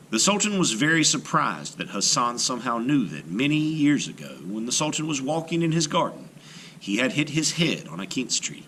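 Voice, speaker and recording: Male storyteller for children's read-aloud: bright, mid-pitched, expressive intonation, faint noise behind. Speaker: storyteller, art teacher.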